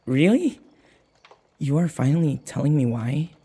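A man acts out a timid young-hearted captive in a vampire audio roleplay. He speaks slowly in a soft-edged, mellow, husky voice, stammering and hesitating as he submits nervously to his mistress. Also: a little high, animated, full character voice. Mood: flustered, bewildered.

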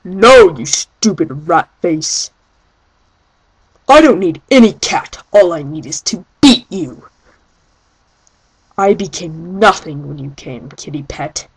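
A woman puts on an embittered tomcat warrior for an animation audition. He spits scorn slowly and intensely, with a husky edge. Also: fairly low pitch, lively intonation, no room sound, voice pushed down, exaggerated voice, low-quality recording. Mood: bitter, scornful. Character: bitter, hostile male warrior cat, deeper voice.

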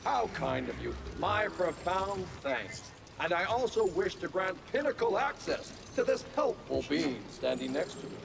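An actor playing a florid, pompous alien dandy in a video game, speaking in theatrical, old-fashioned flourishes. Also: male voice, high-pitched, big pitch swings, unhurried, gravelly, hardly any pauses, background noise, heavily acted. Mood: pompous, courtly. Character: flamboyant, florid, grandiloquent alien dandy, effusively grateful.

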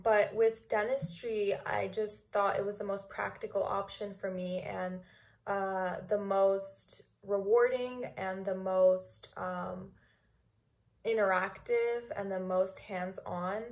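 A woman's voice: medium pitch, darkish tone. Chatty young woman, filler-heavy. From Los Angeles, California. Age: 20s. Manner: chatty, many ums and likes. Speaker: dental student. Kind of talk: interview answers to camera. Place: small room indoors.

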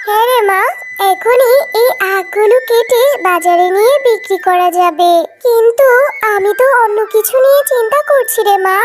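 A woman's voice: very high-pitched, moderate pace. A woman voicing a humble, caring fox mother with an earnest, plaintive manner in a cartoon story. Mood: doubtful. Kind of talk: children's cartoon story dialogue. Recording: music behind the voice.

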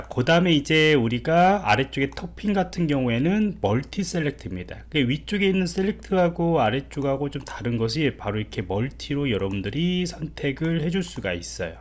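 A man walks through code in a screen-recorded lesson, his pitch rising and falling in an animated way. He explains at an easy pace, with plenty of fillers and little rhetorical questions to the learner. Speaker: programming instructor. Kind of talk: screen-recorded coding tutorial.

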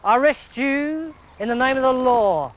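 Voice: high voice, animated, normal speed, pitched above the performer's own voice. A storyteller doing a pompous bumbling policeman. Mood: determined. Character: brisk bumbling male policeman.

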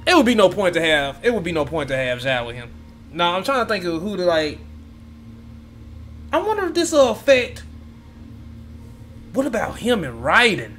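Excitable young man, high lively voice. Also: normal speed, pauses often, soft background music. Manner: excitable, swears a lot, talks to viewers. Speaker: gaming YouTuber. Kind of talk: casual gameplay reaction commentary. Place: home room with gaming chair.

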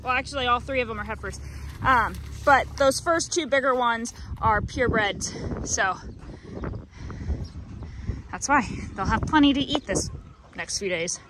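A woman's voice: fairly high pitch, a little slow, long pauses, background noise. A young woman farmer chatting to her phone camera outdoors while doing chores on a cattle farm. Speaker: farmer and vlogger. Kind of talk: casual vlog narration. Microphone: handheld phone camera.

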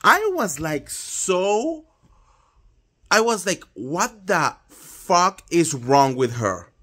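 A man's voice: high voice, big pitch swings, drawn-out words, pushed and bright. A man in his thirties with a Colombian Spanish accent, ranting emphatically about reality TV into a phone at home. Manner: indignant, emphatic, swears freely. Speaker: pop culture vlogger. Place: indoors at home, small room. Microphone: phone held close at arm's length.

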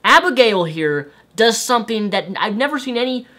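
A young man with a light, high-pitched voice, animated and exasperated, ranting to camera from his bedroom. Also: moderate pace. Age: young adult. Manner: animated, exasperated, waves hands. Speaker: video host. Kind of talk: ranting commentary vlog. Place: bedroom with shelves of toys and games.

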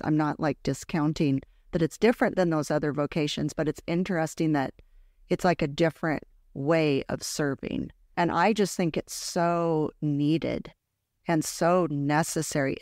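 A middle-aged woman hosts a podcast from a studio in a chatty, affirming way, drawing her guest out with questions. Her voice sits a little low, recorded close and dry on a studio mic. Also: a little mellow, dry recording. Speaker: podcast host. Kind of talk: casual interview chat. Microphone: headphones and studio microphone.

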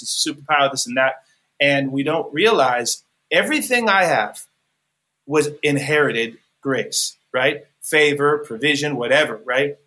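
A man's voice: fairly high pitch, sharp tone. A man from California, a musician guest on a podcast, talking earnestly and rambling with many restarts. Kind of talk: podcast interview.

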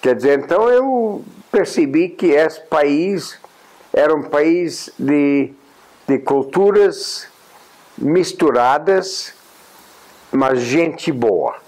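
Older American man speaking slowly. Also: a little high, expressive, a little mellow, rough recording. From United States. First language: English. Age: 80s. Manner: unhurried, pausing often with "ah" and restarts. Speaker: interviewee, journalist and honorary consul. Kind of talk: reflective interview talk. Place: indoors, plain room.

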